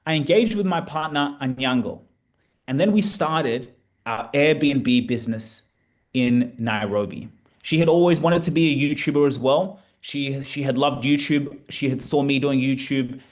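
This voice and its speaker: A young Australian man in a casual vlog, telling a story with lively, repeated emphasis as a property coach. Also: mid-range voice, measured pace. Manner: animated, repeats words for emphasis.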